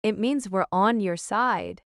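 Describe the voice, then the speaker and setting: A woman's voice: medium pitch, slow, dry recording, clean studio sound, lightly acted. A woman playing a weary, earnest runaway fugitive in an audio drama.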